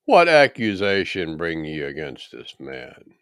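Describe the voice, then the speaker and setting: A man's voice: a bass voice, huge pitch swings, unhurried, a bit bright, clear, resonant tone, dry recording, clean studio sound, heavily acted. A man voicing a stern Roman governor in a scripture reading, questioning a prisoner with commanding, emphatic delivery.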